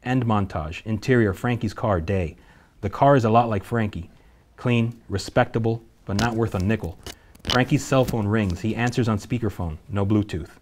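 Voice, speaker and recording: A man reading out the stage directions at a table read of a screenplay, in a steady, matter-of-fact way. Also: fairly low pitch, normal speed.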